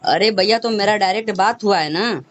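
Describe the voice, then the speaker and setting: A man's voice: high voice, moderate pace, fairly bright tone. A man with a Bhojpuri accent in an argumentative phone call, insistent and repetitive, talking over the other person. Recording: noisy recorded phone call.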